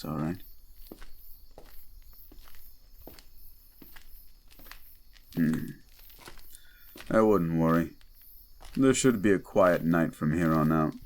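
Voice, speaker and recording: A man playing a gruff, wisecracking, unflappable demon paranormal detective in a roleplay audio drama, with a laconic, dry delivery. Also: low voice, heavily acted. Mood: relaxed, reassuring.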